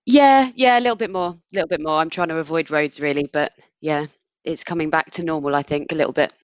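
A woman's voice: deep voice, fast talker, no room sound, lo-fi sound. A woman co-hosting a podcast, chatting casually. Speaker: podcast co-host. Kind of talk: casual chat.

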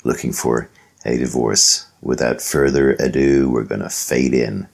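A man from Ireland hosting a casual podcast interview, chatty with quick asides. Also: extremely low pitch, fairly even intonation, creaky. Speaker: podcast host.

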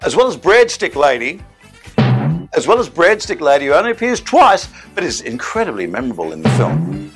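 Lively, chatty man presenting. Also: on the high side, fairly quick, over music. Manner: chatty, enthusiastic, playful asides. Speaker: host presenting a film-night special. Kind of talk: casual upbeat presenting.

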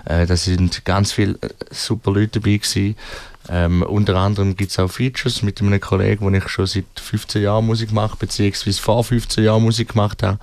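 A man chatting casually as a musician guest in a radio interview, speaking hesitantly in short phrases with frequent pauses. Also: fairly low pitch, little pitch movement, a little mellow, no echo.

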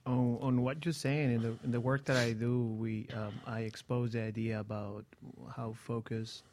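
Man, middle-pitched voice, talk-radio co-host, halting delivery with lots of "um" and restarts. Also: little pitch movement, darkish tone. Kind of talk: motivational talk show chat.

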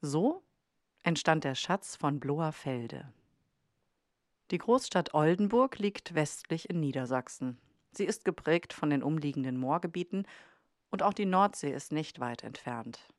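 A woman's voice: deep voice, animated, a little slow, no room sound, clean studio sound. A woman reading scripted podcast narration as the host.

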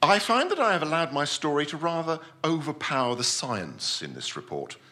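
A man plays a pompous man of science recounting a tragedy at sea. His voice is bright and forceful, swinging widely in pitch, with formal, measured, theatrical phrasing. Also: very animated. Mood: formal, regretful. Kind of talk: dramatic live short-story reading.

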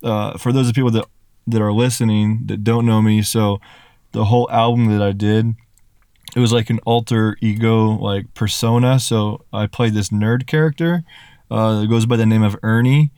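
A man who is a rapper and YouTuber, telling stories in a relaxed way with casual swearing on a podcast. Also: fairly low pitch, normal speed, clear, resonant tone, dry recording, clean studio sound.

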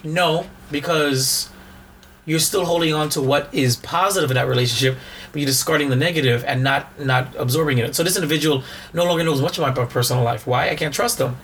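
Hoarse male podcast co-host, slightly high voice, rambling and restart-heavy delivery. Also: a little fast, a bit bright, slight background noise. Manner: rambling, repeats words and restarts often. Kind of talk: casual chat.

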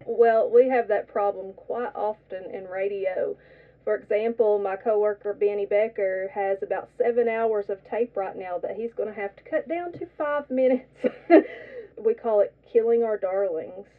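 A woman's voice: mid-range voice, moderate pace. A woman hosting a radio talk show, asking young guests curious, friendly questions in a studio. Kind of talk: radio interview. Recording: distant mic, narrow phone-like sound.

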